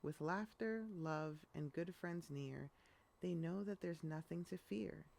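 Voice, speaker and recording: A woman reading a rhyming children's picture book aloud in an expressive storytelling style. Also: on the low side, slow, vocal fry.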